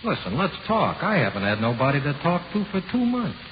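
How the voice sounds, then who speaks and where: A man's voice: on the high side, wildly swooping pitch, fairly quick. A man acting in a 1940s radio horror play as the taunting, coldly persuasive ghost of an executed convict, with tough, laconic slang. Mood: casually needling. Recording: muffled, hissy 1940s radio recording.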